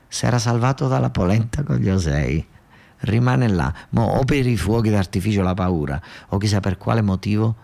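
A man with a southern Italian, Neapolitan-flavoured accent performing a theatrical monologue, telling the story in a dramatic, earthy way with repeated emphasis. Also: lowish voice. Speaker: actor-storyteller. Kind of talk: theatrical monologue narration with dialect.

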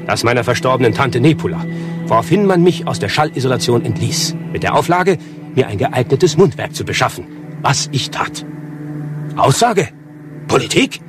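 A man acts out a self-important avant-garde playwright in an absurd radio satire. He is verbose and declamatory, picking out each word precisely in a mid-pitched voice. Music plays clearly behind him. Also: close to an everyday voice. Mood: aggrieved, explanatory. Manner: pompous, long-winded, declamatory, precise diction. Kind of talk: satirical radio play dialogue.

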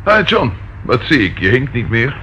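An actor in a radio play as an eager police detective, informal, chatty and dryly humorous. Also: male voice, medium pitch, moderate pace. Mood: breezy, reporting. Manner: lively, informal, relishes reporting findings. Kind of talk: Dutch radio play acting. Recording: dull lo-fi old radio recording, faint noise.

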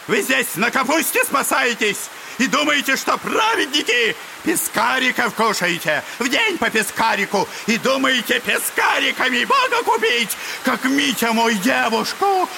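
A man's voice: extremely high pitch, vocal fry, a little hiss or hum, heavily acted. An actor playing a ranting, clownish, spiteful old father in an audio drama, theatrical and whining with mock piety. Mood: furious, mocking. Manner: theatrical, whining, mock-pious wailing and shouting. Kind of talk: Russian classic audio drama acting.

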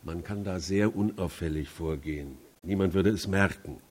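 Deep, husky, composed lawyer. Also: male voice, darkish tone. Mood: calmly explaining. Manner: deliberate, explanatory. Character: composed male family lawyer, measured and matter-of-fact. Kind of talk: radio play acting.

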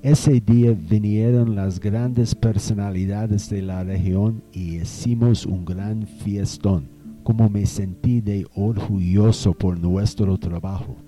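A man's voice: on the low side, fairly even intonation, takes it slowly, mellow, soft background music. A Colombian man playing a proud, formal nineteenth-century road builder in an amateur radio drama, stately and long-winded. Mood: proud, reminiscing.

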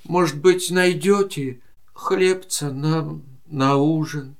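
A woman's voice: low-pitched, steady tone, slow. A woman playing a humbled, weary adult animal in a children's audio play. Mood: humble, weary.

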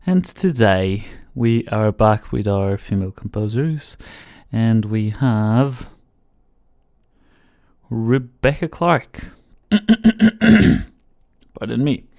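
A man's voice: fairly low pitch, slow pace, long pauses, no echo, studio quality. A man whose first language is Portuguese, hosting a casual radio show and rambling hesitantly, often repeating words. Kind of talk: casual commentary over music. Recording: loud music playing under voice. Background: classical viola and piano music.

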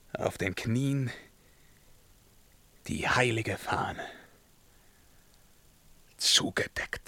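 An actor playing a tormented, world-weary emperor in a German verse radio drama, declaiming between outcries and hushed murmurs. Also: male voice, medium pitch, no echo, heavily acted. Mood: hushed, brooding.

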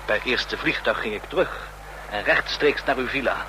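An actor playing a hot-headed, agitated young man in a radio drama, quick to accuse and bite. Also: mid-range voice, close to an everyday voice. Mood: bitter, mocking. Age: young adult. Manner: biting, mocking, agitated. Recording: noisy, humming old radio drama recording.

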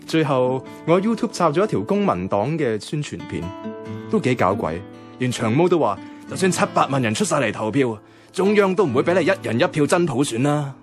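A male actor as a young Hong Kong Cantonese man telling his own story in a radio drama. He is lovelorn and introspective, with an animated, swinging delivery at middle pitch over music. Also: fairly quick, close to an everyday voice. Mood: bemused. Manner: colloquial, introspective. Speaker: lead narrator. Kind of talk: first-person radio drama narration.

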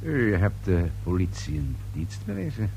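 A man's voice: on the low side, wildly swooping pitch, normal speed, creaky, darkish tone, heavily acted, poor audio. An actor playing a shrewd, sardonic male police inspector in a radio play, probing in short dry phrases. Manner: probing, dry, clipped, repeats answers pointedly. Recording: old radio play recording with faint hum.

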